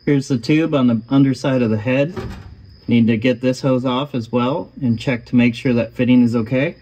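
A man talks through a repair step by step. His voice sits at an ordinary middle pitch, and his delivery is calm and matter-of-fact. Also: normal speed, a little hiss or hum. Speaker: host of a car restoration channel. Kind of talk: voice-over narration of a repair.

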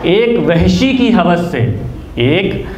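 A man's voice: sits high, expressive, extremely slow, a little mellow. A man in his twenties reciting spoken-word poetry in a declamatory way, repeating lines for emphasis, into a stand mic at an open mic. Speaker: amateur poet, open mic performer. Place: small indoor open mic venue. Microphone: handheld-type mic on a stand, close. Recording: echoey room with background noise.

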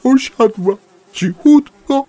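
A man narrating a children's tale in a theatrical, dramatic, expressive way. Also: very high-pitched, slow, over music. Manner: dramatic, expressive, addresses listeners. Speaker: storyteller narrator. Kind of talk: theatrical scripted children's storytelling.

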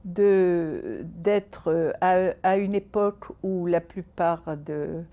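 A woman writer from Algeria, whose first language is Arabic, speaks in a seated interview indoors, thoughtful and measured, with frequent pauses and restarts. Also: on the low side, dull audio. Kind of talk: reflective interview talk. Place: indoor room by a window. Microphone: unseen, seated interview framing.

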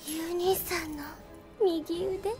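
A very high, childlike girl's voice, speaks with gentle politeness and an unsettling calm. She is an obsessive, deranged anime little-sister type from an audio drama, heard over prominent music. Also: takes it slowly. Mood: sweetly menacing.

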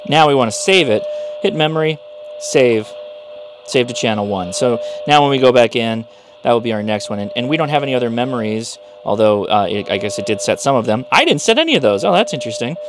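A man in his thirties presents a hands-on product review. He talks casually, in a medium-pitched voice, explaining each step as he goes. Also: expressive, brisk, noisy background. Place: small indoor workshop room.

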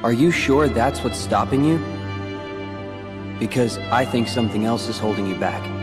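A man's voice: medium pitch, moderate pace, over music, close to an everyday voice. Cool, sincere teen hero for a video game, voiced by a man, mid-range and understated. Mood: calm, earnest. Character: earnest, cool-headed teenage boy hero. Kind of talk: scripted video game voice acting.

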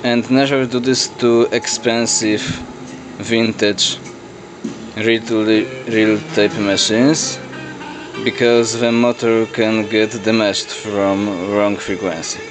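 A man narrating a step-by-step technical demonstration in halting, deliberate phrases, recorded indoors on a camera microphone. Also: on the low side, little pitch movement, music in the background, rough recording. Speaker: host of an electronics demo video. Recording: clear background noise on amateur camera audio.

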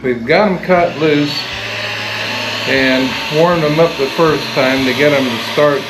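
Retired man explaining in an echoey garage. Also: fairly high pitch. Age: 60s. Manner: plain, unhurried step-by-step explaining while working. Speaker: DIY workshop host. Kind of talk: hands-on how-to demonstration. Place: home garage workshop. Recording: echoey garage with guitar music. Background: guitar music.

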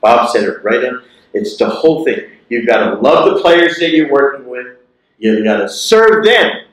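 A man's voice: medium pitch, sing-song, normal speed. A man in his sixties giving a motivational keynote speech through a lapel mic, emphatic and repeating his key phrases. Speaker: keynote speaker. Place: large lecture hall. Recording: echoey lecture hall.

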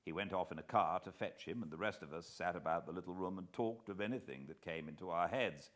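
A middle-aged British man giving a measured, expressive dramatic reading of a story as an audiobook narrator. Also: sits low. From Britain. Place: living room armchair beside a fireplace.

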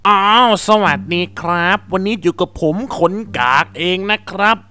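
A man's voice: sits high, moderate pace, sharp tone, smooth, no room sound. A man voicing a clumsy, nervous noob villager who mutters to himself in a game cartoon dub. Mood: uneasy. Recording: music playing under voice. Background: background music.

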